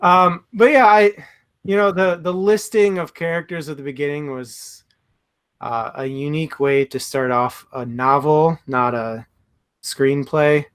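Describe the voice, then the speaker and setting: A man's voice: a little high, fairly even intonation, a little slow, a bit bright, smooth. A young man co-hosting a casual book talk, hesitant with many fillers and self-mocking humor. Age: young adult. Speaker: book podcast co-host.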